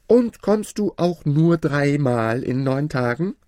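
A man's voice: lowish voice, huge pitch swings, measured pace, darkish tone, husky, no room sound, clean studio sound, exaggerated voice. A man voicing an impulsive, questioning boy hero in a fairy tale, with an eager manner. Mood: bold, questioning.

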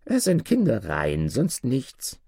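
A man voicing a polite, fussy dwarf servant of a magic ring in a fairy tale, with a drawn-out, singsong delivery. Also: medium pitch, wildly swooping pitch, vocal fry, dark tone, slightly rough, dry recording, clean studio sound, voice pushed up, full character voice. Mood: amused, explaining.

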